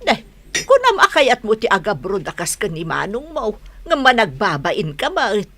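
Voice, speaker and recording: A woman's voice: medium pitch, huge pitch swings, normal speed, fairly bright tone, heavily acted. A woman acting a practical, anxious middle-aged mother of grown children in an Ilocano radio drama. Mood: motherly, coaxing.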